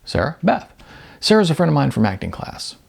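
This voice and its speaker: A man's voice: fairly high pitch, wildly swooping pitch, fairly quick, gravelly, a little mellow, breathy edge, pitched above the performer's own voice, heavily acted. A man voicing a contented, wistful, overweight male model in an audiobook, chatting casually. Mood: casual, mildly defensive.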